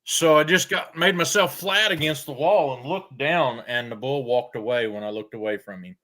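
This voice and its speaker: An older man, telling farm stories in a folksy, unhurried way with frequent pauses. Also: mid-range voice, sharp tone. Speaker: storyteller guest. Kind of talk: casual storytelling.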